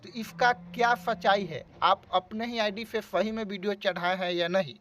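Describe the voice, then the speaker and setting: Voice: mid-range voice, moderate pace, fairly bright tone, a little hiss or hum. An interviewer of unclear sex with Bhojpuri-influenced Hindi, pressing a caller with repetitive, accusatory questions. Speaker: interviewer for an online news channel.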